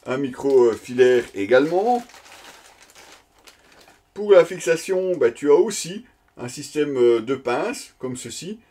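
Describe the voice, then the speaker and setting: Male product reviewer, mid-range pitch, conversational and unscripted, feeling his way through an unboxing. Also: animated, unhurried, long pauses, dark tone. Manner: hesitant, improvised, conversational delivery. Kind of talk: casual unboxing commentary.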